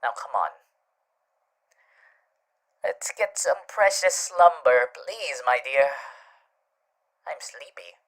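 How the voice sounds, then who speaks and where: A suave male demon for an ASMR roleplay, speaking slowly with wide, theatrical swings of pitch. He is courtly and teasing, yet tenderly devoted to his listener. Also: expressive. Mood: tender, soothing. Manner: courtly, theatrical, hushed turns into gleeful chuckles.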